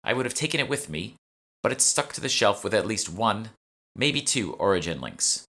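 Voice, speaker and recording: A brazen woman con artist from an audiobook, put on by a man, breezy and haughty. The delivery is sharp-tongued, with a bright edge and a pitch raised over the narration. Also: sing-song, moderate pace, exaggerated voice. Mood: flat, cocky. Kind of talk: audiobook character dialogue.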